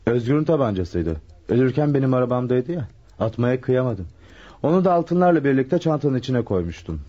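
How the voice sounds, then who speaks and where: Mellow, husky amateur sleuth. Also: male voice, mid-range voice, sing-song, a little fast. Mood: coolly sly. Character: shrewd, self-assured man playing amateur detective. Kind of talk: Turkish radio drama acting. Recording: muffled lo-fi radio drama recording.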